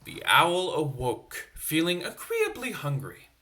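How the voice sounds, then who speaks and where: A man reads a children's story with very animated pitch and a bright voice. He is expressive and dramatic, as a storyteller for young listeners. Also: takes it slowly. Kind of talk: animated bedtime story reading with asides.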